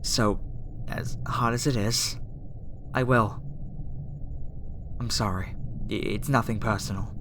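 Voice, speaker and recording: Slow-paced woman as a lonely alien girl scientist, hesitant, soft-hearted, apologetic, ASMR roleplay, noisy ambient background. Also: a bass voice, steady tone. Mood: regretful. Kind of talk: ASMR roleplay audio drama. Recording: clear background noise, ambience.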